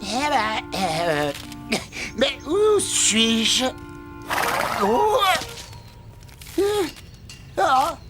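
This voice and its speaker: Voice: high voice, full character voice. An actor voicing a vain, boastful little male scarab beetle in a cartoon, with pompous, exclamatory delivery. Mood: excited, boastful. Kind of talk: cartoon character acting. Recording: music behind the voice.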